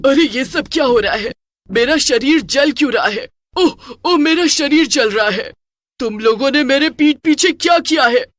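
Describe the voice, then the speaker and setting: A woman voicing a wicked witch ghost in an animated story, exclaiming in a theatrical, menacing way. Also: high-pitched, normal speed, no room sound, voice pushed up. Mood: alarmed, furious. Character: wicked female witch ghost, gleeful then enraged. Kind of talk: animated moral story character acting.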